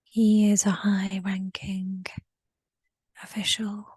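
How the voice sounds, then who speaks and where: A woman whispering a slow first-person recollection in a guided session, often repeating short phrases. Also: medium pitch, level tone, darkish tone, husky, no room sound.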